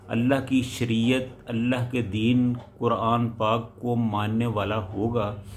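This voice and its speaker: A man preaching a sermon. He recites a verse, then explains it in short, measured phrases that rise for emphasis. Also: medium pitch, darkish tone, a little hiss or hum.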